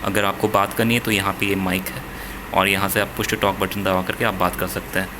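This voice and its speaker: A man's voice: low voice, level tone, a little fast, noisy background. A man with an Indian accent giving a hands-on gadget demonstration, explaining step by step and repeating points as he goes. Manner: switches between Hindi and English, repeating points. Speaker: product reviewer.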